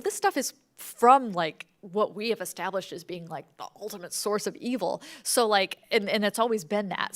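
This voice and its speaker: Casual woman co-presenting a talk. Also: mid-range voice. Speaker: podcast creator co-presenting a lecture. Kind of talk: informal explanatory talk.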